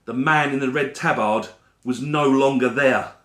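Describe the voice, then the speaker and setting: A man performing a rhyming dramatic monologue in a theatrical, deliberate storytelling manner. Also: medium pitch, fairly bright tone. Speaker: actor reading a monologue. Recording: roomy echo around the voice.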